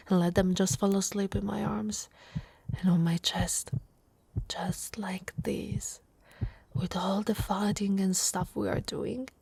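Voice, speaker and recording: A woman playing a big, tough but tender orc wife in an intimate, soft ASMR roleplay. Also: medium pitch, a little hiss or hum. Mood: soothing, loving. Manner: soft, slow, intimate, often breathy with soft chuckles.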